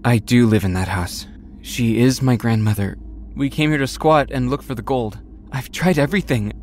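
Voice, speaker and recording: A man's voice: mid-range voice, moderate pace, darkish tone, slight background noise, close to an everyday voice. An actor playing a secretive teenage boy who is caught out, defensive and pleading in an audio drama. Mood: confessing.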